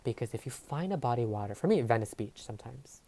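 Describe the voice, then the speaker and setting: A woman's voice: very deep voice, lively intonation, fast talker, mellow. A woman whose first language is Tagalog, giving a rambling tarot reading full of fillers and trailing phrases. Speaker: tarot reader. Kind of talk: casual tarot reading monologue. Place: home filming setup. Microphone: overhead camera setup.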